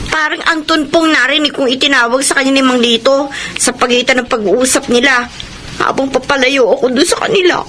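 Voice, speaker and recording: High-pitched feisty young Filipino woman. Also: steady tone, a little fast, fairly bright tone. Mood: troubled, curious. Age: young adult. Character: feisty, wary young female college student. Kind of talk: Filipino radio drama acting. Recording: faint background noise.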